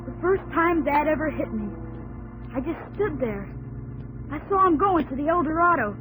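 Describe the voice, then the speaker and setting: Frontier boy, high-pitched, swooping intonation, earnest, eager, vintage radio drama. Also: lively intonation, moderate pace, rough recording. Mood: breathless narration. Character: earnest young frontier son narrating his adventure. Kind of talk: 1950s radio drama acting and narration. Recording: old radio broadcast with music.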